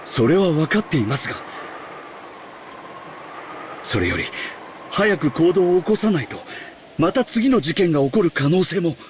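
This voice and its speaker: Visual novel role: young manservant, polite but urgent, quick delivery with lively swings. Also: male voice, on the high side, slight background noise. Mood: anxious, urgent. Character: earnest, anxious young male servant, polite. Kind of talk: Japanese visual novel voice acting.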